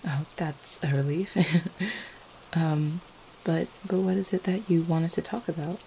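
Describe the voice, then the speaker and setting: A woman playing a gentle, devoted vampire girlfriend in an ASMR roleplay, speaking in a tender, hesitant, affectionate manner. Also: deep voice, unhurried, creaky, rough recording. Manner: soft-hearted, hesitant, tender. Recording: faint steady rain-like background noise. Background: rain falling.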